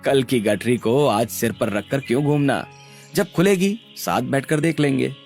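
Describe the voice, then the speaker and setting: A man's voice: medium pitch, moderate pace, darkish tone, soft background music, lightly acted. A man voicing a devoted young tea-seller in love, sincere and reassuring, in cartoon story dialogue.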